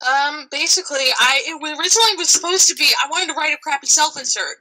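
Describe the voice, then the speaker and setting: Woman guest in casual podcast chat, slightly high voice with a very bright, piercing edge. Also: animated, fast, poor audio. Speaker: podcast guest, voice actress and writer.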